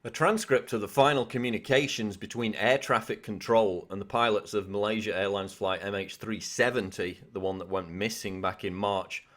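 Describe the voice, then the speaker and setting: A man's voice: lowish voice, a bit bright. A man delivering political news commentary in an insistent, questioning manner. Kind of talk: scripted news commentary to camera.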